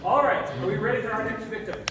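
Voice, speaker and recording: A man's voice: high voice, quick pace, crowd noise. A man leading a voice acting workshop, giving encouraging, repetitive coaching feedback to a participant on stage. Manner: encouraging, repeats himself. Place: large indoor convention session room. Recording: very echoey room, distant mic, loud noise.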